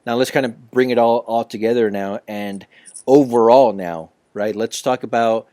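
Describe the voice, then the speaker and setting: A male podcast host asking long, halting, rambling questions of his guest. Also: mid-range voice, mellow, no echo. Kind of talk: casual podcast interview.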